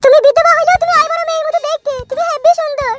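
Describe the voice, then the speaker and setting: A woman's voice: very high voice, steady tone, very fast, a bit bright. A woman voicing a foul-mouthed, jealous village wife in a comic cartoon, cursing and nagging. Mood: bitter, scolding. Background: music.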